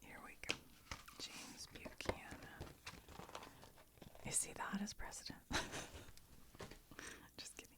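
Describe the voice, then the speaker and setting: A woman whispering softly, mostly unvoiced, while she sorts and reads cards with chatty, giggly asides. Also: takes it slowly, pauses often. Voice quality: soft whisper, often unvoiced. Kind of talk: whispered ASMR card sorting.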